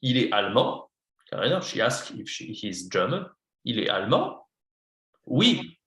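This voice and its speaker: A man teaching French on a video call to a beginner, switching between English and French and guiding slowly and patiently. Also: mid-range voice, big pitch swings, sharp tone, roomy sound. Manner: patient, slow, coaching a beginner. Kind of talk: online lesson, mixing English and French.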